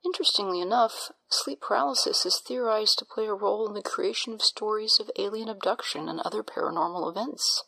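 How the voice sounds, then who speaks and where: A woman playing a doctor in an audio drama, breathy, soothing and clinical, with a patronizing, unsettling edge. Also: mid-range voice, a little fast, hardly any pauses, pushed and bright, low-quality recording. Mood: clinical, assured. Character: calm, smoothly reassuring doctor with an unsettling edge.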